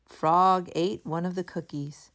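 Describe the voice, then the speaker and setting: A woman storyteller reading a children's book aloud in a deliberate, expressive narrating style. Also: lowish voice.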